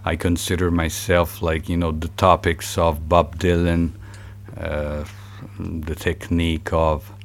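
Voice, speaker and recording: A man from Italy, a musician guest in a studio interview, talking softly and hesitantly with many pauses and fillers. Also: extremely low pitch, a little hiss or hum. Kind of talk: relaxed interview talk. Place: recording studio.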